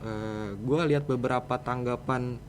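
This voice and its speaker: A man's voice: medium pitch, fairly even intonation, moderate pace, a little hiss or hum. A teenage male student with a Jakarta Indonesian accent, answering casually and a little hesitantly as a podcast guest. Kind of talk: casual interview chat.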